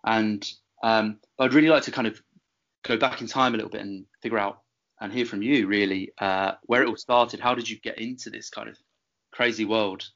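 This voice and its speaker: A man's voice: lowish voice, steady tone, moderate pace, fairly bright tone, highs cut off. A man hosting a podcast interview, talking with many fillers and restarts. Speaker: podcast host.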